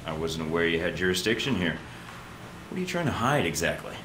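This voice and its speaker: An actor playing a cocky young secret agent in a video game, terse and dry. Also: male voice, low voice, big pitch swings, fast, noisy background. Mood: businesslike, impatient. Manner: dry, curt, understated. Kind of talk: video game cutscene acting.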